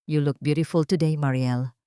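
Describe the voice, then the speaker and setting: Woman as romantic male suitor, earnest, tender, slightly low pitch, dry close sound, radio romance. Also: steady tone, normal speed, warm tone, smooth, no room sound, lightly acted. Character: tender, devoted adult man, a romantic suitor.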